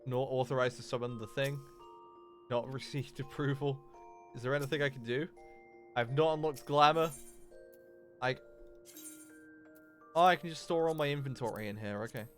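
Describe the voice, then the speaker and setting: A man's voice: medium pitch, normal speed, pauses often. A young man streaming a game, chatting casually in a rambling way with lots of fillers and restarts. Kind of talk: casual livestream chat while gaming. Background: game music.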